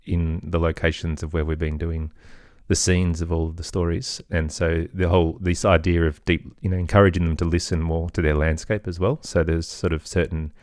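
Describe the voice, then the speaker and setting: Low-voiced man in reflective interview talk. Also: flat delivery, fairly quick, hardly any pauses, dry recording, clean studio sound. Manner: hesitant, thoughtful, hedging with softeners. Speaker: podcast guest, children's audio storyteller.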